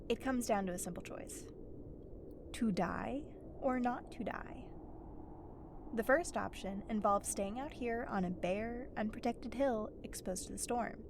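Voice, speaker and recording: A woman's voice: on the high side, a little slow. A woman playing a sarcastic, impatient wasteland survivor in an audio roleplay, talking straight to the listener in a wry, exasperated, brusque manner. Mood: firm, blunt. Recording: steady ambient noise behind the voice. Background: howling wind sound effects.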